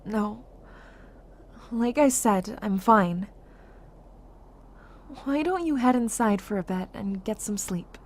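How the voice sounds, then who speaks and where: A woman's voice: mid-range voice, animated, slow, long pauses. A woman playing a guarded, guilt-ridden young survivor in an amateur zombie apocalypse audio drama. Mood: subdued, guarded.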